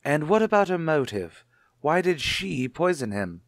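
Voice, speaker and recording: An actor playing a shrewd, probing police captain with a sardonic manner in a radio mystery. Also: male voice, a little high, big pitch swings, a little slow, no echo, clean studio sound. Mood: pressing. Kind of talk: retro radio mystery acting.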